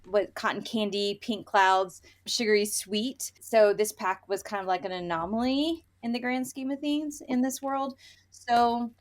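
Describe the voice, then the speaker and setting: A woman's voice: medium pitch, unhurried. A woman co-hosting a casual book review podcast, talking in an emphatic, opinionated and conversational way. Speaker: podcast co-host reviewing romance novels.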